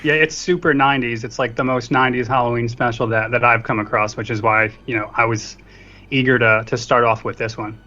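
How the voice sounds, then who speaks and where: A man's voice: medium pitch, fairly even intonation, brisk, sharp tone. A man chatting casually as a guest on a podcast. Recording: dull lo-fi mic, faint music behind.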